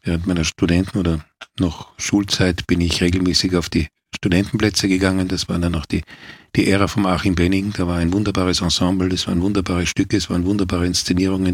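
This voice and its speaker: Low, gravelly Austrian man, reflective. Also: no room sound. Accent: Austrian German. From Austria, Vienna. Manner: measured, thoughtful, repeats words while searching. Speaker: actor recounting his life. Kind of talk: reflective autobiographical talk.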